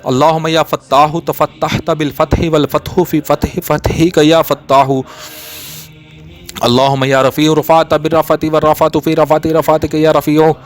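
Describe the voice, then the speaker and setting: A man reciting a long Arabic supplication in a steady, repetitive chanting rhythm. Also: a little high. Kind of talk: rapid recited prayer.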